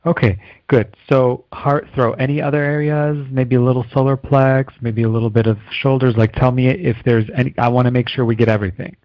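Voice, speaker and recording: A man acting as an energy healer, calmly and slowly guiding a client through deep breaths with soothing affirmations. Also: dry recording, rough recording. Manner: calm, slow, soothing guidance with steady affirmations. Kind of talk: guided healing session.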